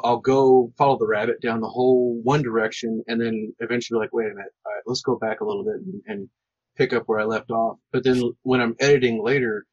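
A man's voice: mid-range voice, dry recording, highs cut off. A man hosting a podcast, chatting casually and agreeably about writing as a fellow writer.

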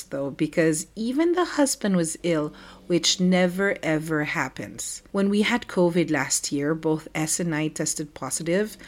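A middle-aged woman hosting a podcast, telling a personal story in a candid, wry, conversational way, her voice still slightly rough after the flu. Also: fairly low pitch. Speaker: podcast host and life coach. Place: home.

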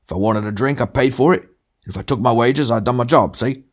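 A man's voice: mid-range voice, a little fast, pitched above the performer's own voice, lightly acted. A man voicing a big, sulky working-class male ghost in an audiobook, self-righteous and argumentative, full of blustering grievance. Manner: blustering, argumentative, punctuating with demands. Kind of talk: literary audiobook character dialogue.

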